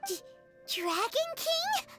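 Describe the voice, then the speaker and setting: A woman voicing a tiny, excitable, blunt childlike fairy companion in a video game, squeaky and exclamatory. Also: takes it slowly, exaggerated voice. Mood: indignant, surprised. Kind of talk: video game character acting. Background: game music.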